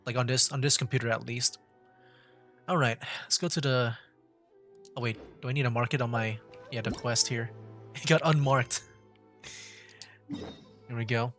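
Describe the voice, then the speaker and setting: A man's voice: medium pitch, steady tone, measured pace, pauses often, a bit bright. A man chatting casually as he commentates on a game for a livestream. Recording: game music in the background.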